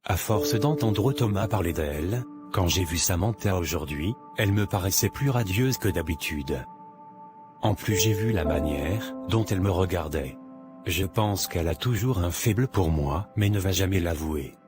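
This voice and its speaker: A man playing an irritable, self-assured and covetous married man in an animated drama, with a dismissive, grumbling manner. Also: low voice, moderate pace, music in the background. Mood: scheming. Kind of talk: scripted animated drama dialogue.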